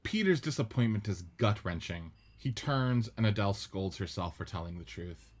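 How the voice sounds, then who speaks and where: A man narrating the stage directions of a radio play script in a steady, descriptive way. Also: fairly low pitch, normal speed.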